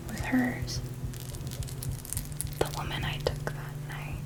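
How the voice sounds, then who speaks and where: A haunted female vampire mentor, played by a woman, whispers in an intimate ASMR monologue. Her delivery is very slow and breathy, theatrical and seductive, with lingering pauses and a world-weary menace. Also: low-quality recording. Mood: tender, eerie.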